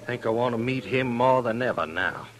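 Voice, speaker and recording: Actor as a hard, animated western manhunter. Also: male voice, mid-range voice, normal speed, close to an everyday voice. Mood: suspicious. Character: hard, determined man hunting a fugitive in western. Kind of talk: 1950s radio western acting. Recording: noisy 1950s radio recording.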